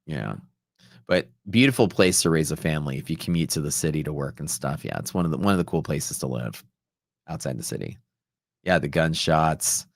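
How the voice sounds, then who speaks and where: A man with a deep voice chats casually as a livestream host. The sound is dry and close, and his delivery is loose, slangy and rambling, like off-the-cuff storytelling. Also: lively intonation, fairly quick, gravelly, dry recording. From Texas, United States. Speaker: livestream host, futures trader.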